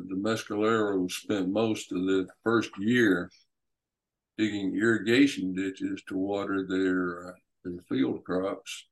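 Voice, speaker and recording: A man, an author and guest, telling history unscripted in a halting, deliberate way with frequent pauses and hesitations. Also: deep voice, rough recording.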